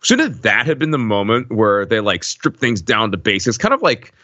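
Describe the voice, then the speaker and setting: A man's voice: lowish voice, very animated, moderate pace, bright, forceful tone, dry recording, highs cut off. A man in a casual film discussion, talking in an emphatic, nitpicking way as a podcast panelist.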